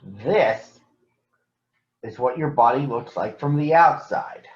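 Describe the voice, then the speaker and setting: A man voicing a patient female grizzly bear doctor from a storybook, explaining things in a deliberate, encouraging way. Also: mid-range voice, lively intonation. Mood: instructive. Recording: echoey room, slightly dull sound.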